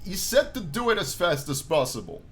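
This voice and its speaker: A man playing a game on his channel, reading the story aloud as animated narration and dropping in casual, jokey asides. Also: high voice, moderate pace. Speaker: gaming YouTuber reading a visual novel aloud. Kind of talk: let's play commentary mixed with dramatic read-aloud narration.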